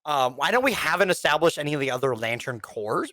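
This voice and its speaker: A woman with a lively, swooping delivery in a close, dry recording. She co-hosts a casual review show and gives opinions bluntly, with slang and swearing. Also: low-pitched, quick pace, dry recording. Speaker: podcast co-host reviewing animated films.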